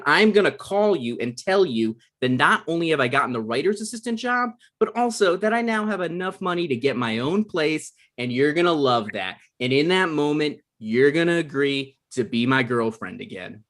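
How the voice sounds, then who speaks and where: A man's voice: a little high, lively intonation, moderate pace, close to an everyday voice. A man playing an insecure, defensive aspiring comedy writer in his thirties at a casual table read. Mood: pleading, boastful. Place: remote online table read over internet.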